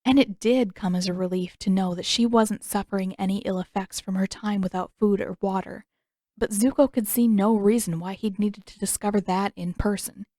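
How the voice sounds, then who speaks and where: A woman's voice: mid-range voice, steady tone, normal speed, dark tone, no room sound. A woman reading scripted fiction expressively as a storyteller, close to the microphone. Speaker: podfic narrator and author. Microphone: close microphone, dry room.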